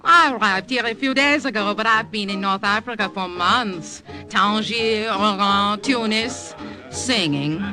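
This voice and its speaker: An actress playing a worldly, flirtatious French cabaret singer and secret agent in a radio drama, coy, poised and knowing. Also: female voice, mid-range voice, unhurried, natural voice. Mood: playful. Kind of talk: old-time radio acting. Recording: vintage radio recording with music behind.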